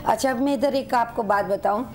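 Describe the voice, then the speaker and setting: A woman's voice: fairly high pitch, fairly quick, a little mellow, faint music behind. Chatty woman doctor on a call-in show. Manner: chatty, explanatory, rambling, mixing in English words. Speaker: doctor giving health advice on a call-in show. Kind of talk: advice to callers in a TV studio.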